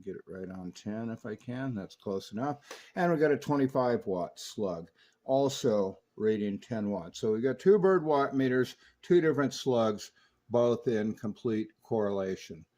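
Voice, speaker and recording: A man's voice: mid-range voice, expressive, measured pace, darkish tone. A man giving an unscripted, hands-on technical demonstration of equipment, explaining each step methodically. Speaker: radio restorer demonstrating equipment.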